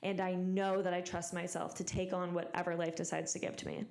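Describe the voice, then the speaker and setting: A woman's voice: on the low side, flat delivery, fairly quick, vocal fry, darkish tone. A young woman in her twenties hosting a motivational solo podcast, talking emphatically and repeating phrases for stress while her voice recovers from being lost. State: recovering from voice loss. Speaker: podcast host.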